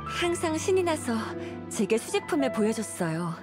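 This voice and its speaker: Casting: troubled young-sounding game wife, high lively voice, polite, weary, plaintive, music behind. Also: female voice, normal speed. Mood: wistful. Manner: polite, plaintive, earnest storytelling. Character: weary, apologetic wife and mother burdened by debts. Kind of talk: video game voice acting.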